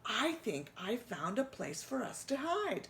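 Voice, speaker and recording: A woman voicing an eager young male church mouse with a high, squealing voice. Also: sing-song, unhurried, creaky, a bit bright, slight background noise, full character voice. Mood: excited.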